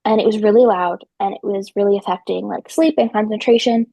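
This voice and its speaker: A woman's voice: medium pitch, steady tone, brisk, gravelly, mellow, no echo. A woman in her twenties from New Jersey, a podcast guest telling her story in a chatty way, with many ums and likes and some technical terms. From central New Jersey. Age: 20s. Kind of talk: casual conversational storytelling.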